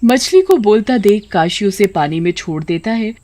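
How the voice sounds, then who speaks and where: A woman's voice: mid-range voice, lively intonation, normal speed, warm tone. A woman narrator reading a scripted moral tale for children. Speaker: story narrator.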